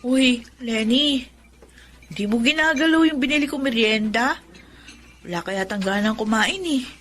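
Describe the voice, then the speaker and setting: A loyal young woman in a radio drama. She has a fairly high voice and speaks in a coaxing, reassuring way, like a caring friend. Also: measured pace, fairly bright tone, soft background music. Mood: curious, concerned.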